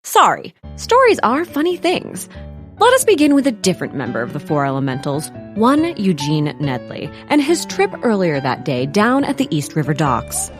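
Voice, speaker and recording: A woman's voice: on the low side, wildly swooping pitch, moderate pace, faint music behind. A woman playing a whimsical, digressive narrator, telling a comic audio drama in a wry, theatrical way. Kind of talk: comic scripted storybook narration.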